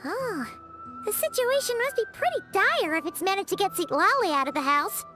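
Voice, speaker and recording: A woman voicing a tiny, cheeky, childlike companion creature in a video game with a squeaky voice. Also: expressive, normal speed. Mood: excited. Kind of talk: video game character acting. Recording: music under the dialogue.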